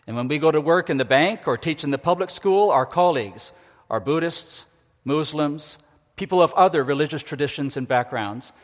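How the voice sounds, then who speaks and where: An older man delivers a thoughtful, earnest sermon at a fairly high pitch for a man. His voice rings out with a long reverb, as if from a large church hall. His pacing is measured and reflective. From Ecuador. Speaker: guest preacher, university leader. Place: church sanctuary.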